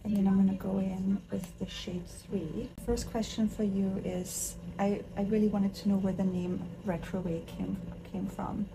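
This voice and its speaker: Makeup artist host, woman from Austria, medium pitch, chatty, faint room hiss. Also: level tone, a little slow, vocal fry, hardly any pauses. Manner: chatty, enthusiastic, talks while working with her hands. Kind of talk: casual chat while doing makeup.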